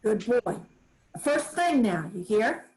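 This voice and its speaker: A woman playing a firm, loving old farm grandmother in a staged play reading, giving brisk, kindly orders in plain rural dialect. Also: fairly high pitch, big pitch swings, a little mellow, a little hiss or hum, low-quality recording. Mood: folksy, instructing.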